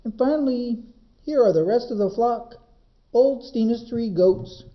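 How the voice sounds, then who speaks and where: A man's voice: very high-pitched, measured pace, lo-fi sound, voice pushed up. A man voicing a young goatherd boy in a storybook, urgently calling out to his animals. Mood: counting, singsong.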